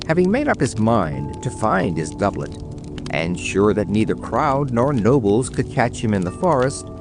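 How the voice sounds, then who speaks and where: Male narrator for a bedtime tale; mid-pitched, highly expressive, dramatic read. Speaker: storyteller. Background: music.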